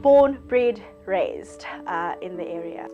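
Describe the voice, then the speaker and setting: A woman's voice: fairly low pitch, lively intonation, takes it slowly, warm tone, muffled recording. A woman from South Africa, a dancer, introduces herself cheerfully. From Macassar, near Somerset West, South Africa. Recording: clear music behind.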